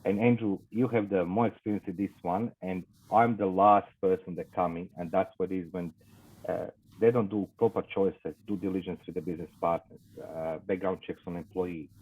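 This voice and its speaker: A man with a Croatian accent, a guest in an interview, speaking English as a second language with many fillers and halting phrasing. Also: deep voice, steady tone, breathy edge, narrow, tinny audio. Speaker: guest, investigations business owner. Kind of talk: casual interview talk.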